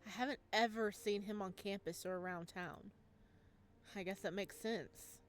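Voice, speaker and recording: A lonely young ghost tells of his afterlife in a visual novel, played by a woman in her natural register. He is wistful and resigned, with a mid-pitched voice. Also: fairly even intonation, moderate pace, soft background music, close to an everyday voice. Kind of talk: visual novel read aloud.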